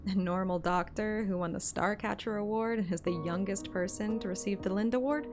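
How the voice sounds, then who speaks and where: A woman's voice: mid-range voice, a little fast, music in the background, close to an everyday voice. A woman playing a bold, teasing young monster hunter in a game story. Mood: playful.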